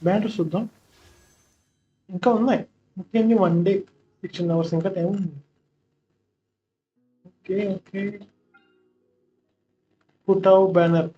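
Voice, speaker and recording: A man's voice: sits high, rapid-fire, pauses often, warm tone, faint music behind. A man chatting casually as he live-streams a game, heard through a muffled, distant mic.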